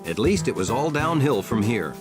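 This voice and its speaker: Male actor, children's cartoon narrator, playful, wry, hugely varied pitch, music underneath. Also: very animated, normal speed, lightly acted. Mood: amused storytelling. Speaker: storybook narrator.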